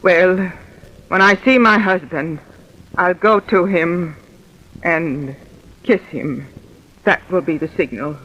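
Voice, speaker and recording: A woman's voice: lowish voice, slow, pauses often, fairly bright tone, highs cut off. An actress playing the anguished, loyal wife of a wanted man, pleading melodramatically in old-time radio drama style. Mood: reluctant, resigned. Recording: noisy vintage radio recording.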